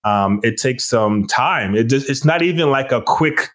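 A man hosts a podcast conversation in a relaxed, encouraging way. His voice sits at an ordinary male middle pitch, and he keeps the talk moving with easy prompts and soft murmurs of agreement. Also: measured pace. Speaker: podcast host. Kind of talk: podcast interview.

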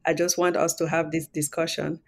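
A woman's voice: deep voice, flat delivery, brisk. A woman hosting a podcast interview, asking questions in an encouraging way. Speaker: podcast host.

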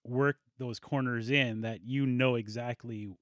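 A man's voice: mid-range voice, fairly even intonation, unhurried, fairly bright tone, dry recording, clean studio sound. A man hosting a podcast, chatting informally with a guest and chiming in with agreement. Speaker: podcast host. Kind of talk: casual interview chat.

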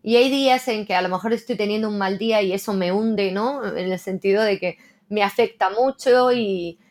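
A woman translator speaks in a relaxed interview, thinking aloud about her work. She keeps checking in with the listener with little tag questions. Her voice sits at an ordinary middle pitch. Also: normal speed. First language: Spanish. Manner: reflective, frequent "¿no?" tags. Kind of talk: casual interview conversation.

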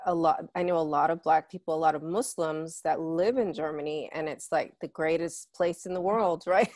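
Chatty low-voiced woman host, dry sound. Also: fairly even intonation, moderate pace, darkish tone, no room sound. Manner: chatty, lots of filler words. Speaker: podcast host. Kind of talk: podcast interview chat.

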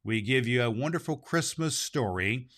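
A man's voice: medium pitch, animated, a bit bright, no echo, clean studio sound, natural voice. Man playing jolly, theatrical Santa Claus, dryly recorded. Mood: kindly, jolly. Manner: theatrical, drawn-out phrasing, ho-ho-ho chuckles. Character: jolly Santa Claus hosting and reading to children. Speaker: host and story reader. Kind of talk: storybook reading and children's show hosting.

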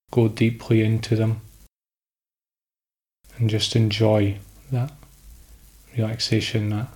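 Low, very monotone man guiding meditation. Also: low-quality recording. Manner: calm, instructional, short phrases with pauses. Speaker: meditation guide. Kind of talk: guided sleep meditation.

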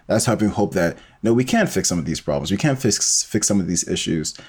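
A man's voice: low-pitched, animated, brisk, a little mellow, husky. A man in a casual, reflective conversation as a podcast guest, hesitant and searching for his words. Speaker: podcast guest, fiction podcast creator.

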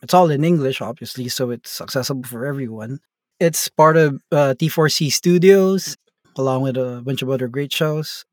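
A man's voice: mid-range voice, normal speed, dry recording, studio quality. A man from the Philippines, a tabletop game master, talking casually as an interview guest with lots of fillers and tag questions. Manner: rambling, relaxed, many fillers and tag questions.

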